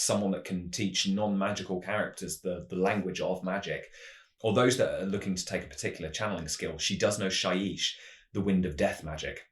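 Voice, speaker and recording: Male tabletop game presenter, low-pitched, measured explanatory storytelling, roomy echoing sound. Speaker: tabletop roleplaying game channel host. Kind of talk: semi-scripted explanatory narration.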